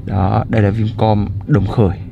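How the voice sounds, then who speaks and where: A man's voice: lowish voice, little pitch movement, moderate pace, gravelly, lo-fi sound, over music. Casual young man vlogging. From northern Vietnam. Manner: chatty, upbeat, filler-heavy. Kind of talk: casual vlog chat. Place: barbershop and car at night.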